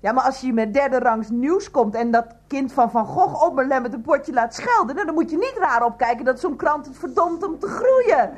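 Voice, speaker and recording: A woman's voice: high voice, lively intonation, normal speed, hardly any pauses. An actress playing a distraught, whining young newspaper delivery girl in a radio play, tearful and self-pitying. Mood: indignant complaint. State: tearful, sobbing.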